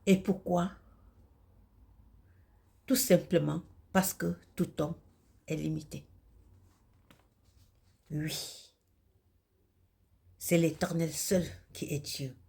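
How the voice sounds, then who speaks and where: A Cameroonian woman with a husky, gravelly voice delivers a religious monologue in solemn, declamatory, halting phrases, with a Cameroonian French accent. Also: sits low, fairly even intonation, darkish tone. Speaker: video host and self-described messenger of God.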